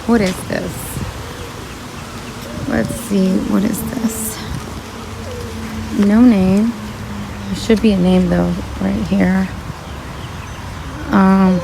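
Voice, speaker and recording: A woman with a slightly raspy voice, chatting casually to her viewers as she browses plants in a garden center. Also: medium pitch, noisy background. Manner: chatty, thinking aloud while browsing. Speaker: vlogger. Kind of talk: casual shopping vlog talking to viewers. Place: garden center of a large store.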